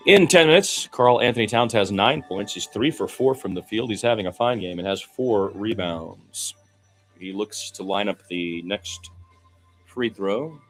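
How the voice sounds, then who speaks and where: A man doing live radio play-by-play of a basketball game, informal and opinionated, swinging between low-key asides and excited calls. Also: measured pace, faint music behind. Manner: informal, opinionated, mildly profane.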